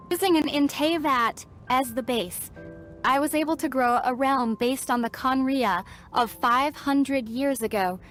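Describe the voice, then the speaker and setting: A woman's voice: fairly high pitch, natural voice. A woman playing a solemn, resolute young princess in a video game, speaking earnestly and formally as if burdened by old guilt. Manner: earnest, formal storytelling delivery. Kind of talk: dramatic video game dialogue. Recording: studio voice over background music.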